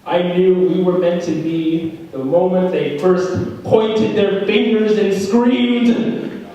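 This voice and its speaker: A young man performing a slam poem with dramatic delivery, swinging from loud shouts to low hushed lines. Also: fairly even intonation, slow pace, muffled recording. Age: young adult. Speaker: slam poet. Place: school room. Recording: very echoey room, voice from far off.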